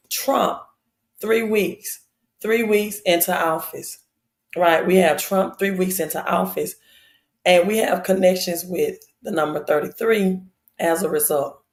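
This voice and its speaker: A woman hosting a casual live stream, her voice raspy and hoarse, addressing viewers directly and repeating phrases for emphasis. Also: on the low side.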